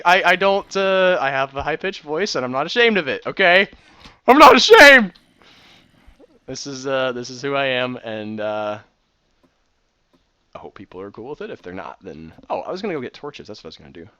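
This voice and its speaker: Excitable man, very animated delivery. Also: on the high side, moderate pace, long pauses, clean tone, dry recording. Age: 30s. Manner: excitable, rambling. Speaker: Minecraft builder and streamer. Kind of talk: casual commentary while gaming.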